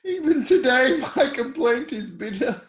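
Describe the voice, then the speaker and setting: Tortured righteous sufferer, high pitch, slow halting pace, defiant, dramatic scripture reading, echoey. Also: male voice, very animated, exaggerated voice. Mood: anguished pleading. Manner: impassioned, halting delivery swinging from cries to hushed lines. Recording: echoey room.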